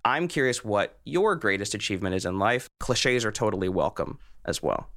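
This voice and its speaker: A man's voice: on the low side, animated, moderate pace, fairly bright tone. A man chatting casually as a podcast interviewer. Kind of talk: casual chat.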